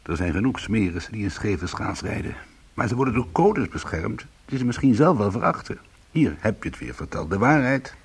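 A man's voice: on the low side, very animated, moderate pace, vocal fry, breathy edge, exaggerated voice. A man playing a bitter, sardonic small-time ex-convict in a Dutch radio play, protective and combative. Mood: bitter, wry. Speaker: lead actor and first-person narrator.

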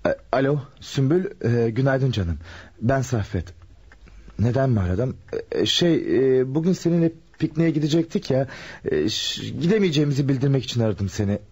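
An actor playing a nervous, scheming opportunist with a jittery, muttering way of talking in a Turkish radio drama. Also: male voice, medium pitch, lively intonation, moderate pace, a little mellow, breathy edge. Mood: sheepish, evasive. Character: nervous scheming male opportunist hiding stolen loot. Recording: narrow-band radio recording, faint hiss.

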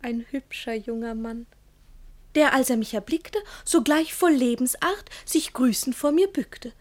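High-voiced, naive young girl. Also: a little slow. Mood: artless, eager. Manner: guileless, earnest, recites verse in detail. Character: naive, candid young girl, artlessly innocent. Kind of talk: classic German radio play in rhymed verse.

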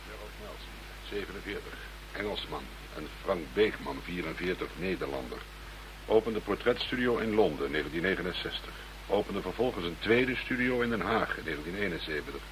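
An actor playing a brisk, wry senior police investigator in a radio play, authoritative and matter-of-fact. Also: male voice, low voice, animated, creaky, background noise. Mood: businesslike.

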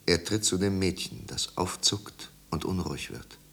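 A man with a low, gravelly voice narrates a 1950s German radio play. His literary delivery is measured and grave, with dramatic weight. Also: expressive, a bit bright.

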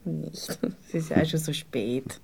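An actress playing a coy, naive young Viennese sweetheart in a radio play, pouting and often hushed, with a Viennese accent. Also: female voice, sits low, measured pace, creaky, husky, heavily acted. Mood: placating. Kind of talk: naturalistic radio play dialogue.

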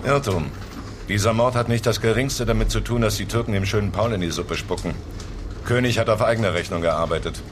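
An actor playing a dry, unimpressed newspaper crime reporter in a German radio drama, understated and probing. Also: male voice, on the low side, a little fast, background noise, close to an everyday voice. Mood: brisk, curious.

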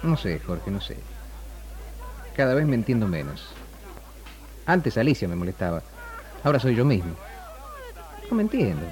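Intensely animated, troubled Argentine man. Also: fairly low pitch, normal speed, pauses often, poor audio. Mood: troubled, brooding. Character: troubled, self-doubting young man, television camera director. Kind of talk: 1960s Argentine radio drama acting.

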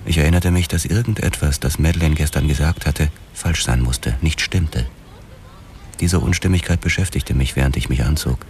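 Very deep grim detective. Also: male voice, normal speed, a little hiss or hum. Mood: grim, weary. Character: grim, exhausted hard-boiled male police detective narrating. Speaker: first-person narrator. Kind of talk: dramatic crime radio play narration. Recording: music loud behind the voice.

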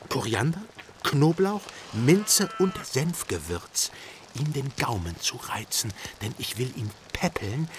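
An actor playing a boastful, rough hunter son who cries out theatrically to onlookers in a radio drama. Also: male voice, medium pitch, background noise. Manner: theatrical crying out of mocking orders.

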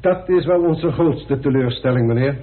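A man's voice: mid-range voice, moderate pace, close to an everyday voice. A man playing a deferential, matter-of-fact police inspector reporting his findings in a radio drama. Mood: reporting, resigned. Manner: respectful, factual, clipped reporting. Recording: tinny band-limited old radio recording.

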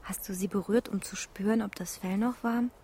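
A woman's voice: mid-range voice, steady tone, moderate pace, dark tone, slight background noise, lightly acted. An actress playing an insistent, probing young woman in a radio drama. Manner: insistent, repeating questions.

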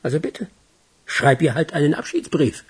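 A man's voice: a little high, big pitch swings, moderate pace, background noise. A man playing a wary, businesslike criminal in a radio crime drama, terse and insistent. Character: wary, businesslike male criminal urging his partner on. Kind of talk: German radio play acting.

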